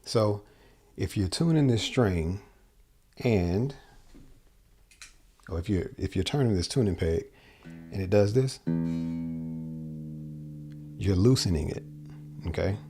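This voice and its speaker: Man, 40s, guitar teacher, deep mellow voice, close dynamic mic, faint music underneath. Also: animated, takes it slowly, long pauses, husky. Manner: loose, rambling, self-correcting explanations. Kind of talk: casual video lesson. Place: small home studio, indoors. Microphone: large dynamic mic on boom arm.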